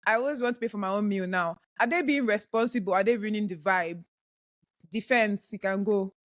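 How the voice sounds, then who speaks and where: A woman's voice: mid-range voice, brisk, dry recording, studio quality. A woman co-hosting a playful podcast debate, arguing the prosecution side in a pushy, teasing way and laughing often. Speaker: co-host arguing the prosecution in a mock trial.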